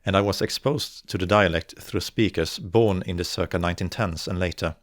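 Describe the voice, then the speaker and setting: A young man from northern Sweden, a native Swedish speaker with a Swedish accent, giving a careful, measured scripted narration for an educational video. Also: low voice, no room sound, clean studio sound. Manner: measured, careful, slows to articulate example words. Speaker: video essayist on dialects.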